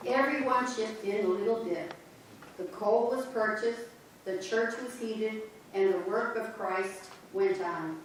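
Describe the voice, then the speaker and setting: A woman narrates a church's history in a steady, storytelling manner. Her voice is mid-pitched. It carries from a distance across a reverberant sanctuary with faint noise. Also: measured pace. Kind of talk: reader's theater narration. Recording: echoey church from far off.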